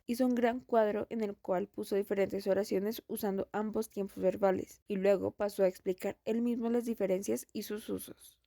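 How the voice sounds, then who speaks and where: A woman reads a first-person narration aloud, her voice mellow and warm. The sound is close and dry, with no room around it. She keeps a steady, even pace. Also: mid-range voice, no echo. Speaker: student presenter.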